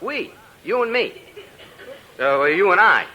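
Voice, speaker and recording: An actor playing a brash, wisecracking freeloader of a buddy in a 1950s radio comedy. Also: male voice, sits high, sing-song, measured pace, pushed and bright, heavily acted. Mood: cocky, wisecracking. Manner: cocky, wisecracking. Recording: crackly 1950s radio recording.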